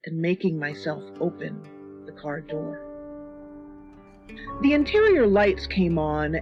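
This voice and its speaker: A woman reading a scripted first-person horror story in a measured, dramatic, suspenseful manner. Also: medium pitch. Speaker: story reader. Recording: dull lo-fi audio with music.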